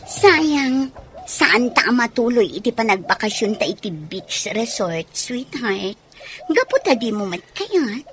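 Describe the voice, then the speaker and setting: A woman's voice: on the high side, big pitch swings, slow, fairly bright tone, faint music behind, full character voice. A woman acting a coquettish, gossipy sweetheart in an Ilocano radio drama. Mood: pleading, flirty.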